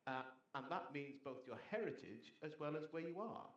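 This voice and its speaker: A man's voice: fairly high pitch, lively intonation, normal speed, reverberant, lo-fi sound. A middle-aged man born in Zambia, a former economy minister, making earnest, persuasive points on a conference panel. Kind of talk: panel discussion talk. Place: conference hall.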